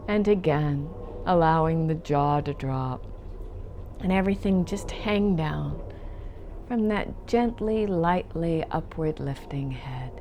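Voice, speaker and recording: Very slow, low-voiced woman guiding meditation. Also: lively intonation, a little hiss or hum. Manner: gentle, soothing, lingering on phrases. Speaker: meditation teacher. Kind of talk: guided meditation instruction.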